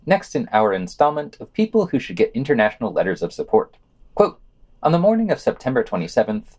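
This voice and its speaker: Guy reading scripted podcast news, medium pitch, really lively intonation, sardonic asides.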